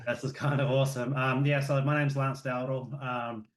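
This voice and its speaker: A man from New Zealand with a New Zealand accent, chatting casually as a podcast guest, relaxed and full of fillers. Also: medium pitch. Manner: relaxed, filler-heavy, with quick asides.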